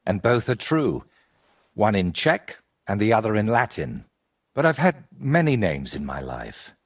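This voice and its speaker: A man playing an evasive, eccentric wandering painter in a medieval video game, with a husky, rough-edged voice and a theatrical, riddling way of talking. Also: lowish voice, big pitch swings, unhurried, exaggerated voice. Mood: playful, cryptic. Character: evasive eccentric male wandering painter, wry and world-weary.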